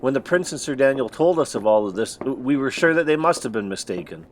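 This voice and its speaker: An actor playing an earnest, formal member of parliament in an audio drama. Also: male voice, mid-range voice, expressive, quick pace, a little hiss or hum, natural voice. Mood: shocked.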